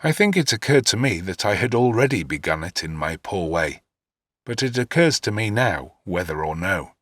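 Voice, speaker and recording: A man reads literary first-person narration. His voice is low but bright, with a slightly rough, husky edge, and it moves expressively through each long sentence. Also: sing-song, normal speed, no room sound. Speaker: audiobook narrator.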